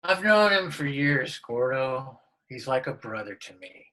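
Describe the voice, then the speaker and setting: Man reading laid-back suburban dad, middle pitch, offhand. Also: sing-song, measured pace, pushed and bright, poor audio. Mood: casual.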